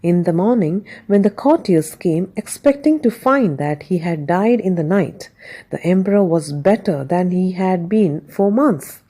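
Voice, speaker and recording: A woman narrating a scripted bedtime story as a storyteller, measured and expressive. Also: fairly low pitch, husky.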